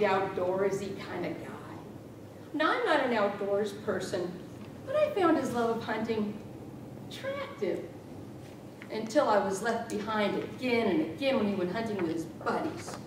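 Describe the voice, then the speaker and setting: A middle-aged woman giving a humorous tall-tale speech with theatrical, deliberate delivery and dramatic emphasis. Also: very animated. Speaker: contest speaker. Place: small meeting room with rows of chairs. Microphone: far camera. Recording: echoey room from far off, noisy rumble.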